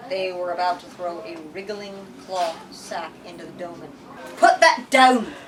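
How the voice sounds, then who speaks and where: A woman reading a story aloud to a group in an expressive, emphatic way. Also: on the low side, normal speed. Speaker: bookstore lit talk leader reading aloud. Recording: distant microphone, room with audience noise.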